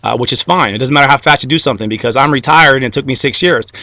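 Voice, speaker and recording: A man's voice: medium pitch, very fast, bright, forceful tone, no room sound. A young man whose first language was Greek, giving a motivational talk that keeps checking in with "okay?" and builds to a fired-up finish. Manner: repeats "okay?" and "guys", builds to rising fired-up climax. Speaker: motivational speaker.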